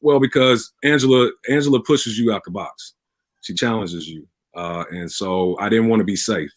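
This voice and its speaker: A man giving conversational interview answers, speaking haltingly and repeating words as he thinks. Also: lowish voice, no room sound. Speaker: pastor and radio drama producer, interview guest.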